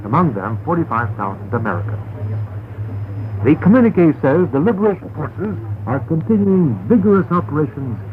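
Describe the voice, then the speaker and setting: A man reads a formal scripted news bulletin as a radio newsreader, in a measured, carefully enunciated way. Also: fairly high pitch, animated. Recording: noisy narrow-band shortwave radio recording.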